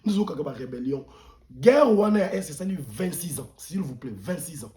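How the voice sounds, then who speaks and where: A man with a Congolese accent and a soft-edged, mellow voice pitched a little high. He speaks as a pastor turned political commentator, indignant and emphatic. He repeats words to drive points home and slips between languages mid-sentence. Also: sing-song, moderate pace. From Democratic Republic of the Congo. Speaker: pastor, interview guest. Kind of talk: impassioned political commentary in an interview.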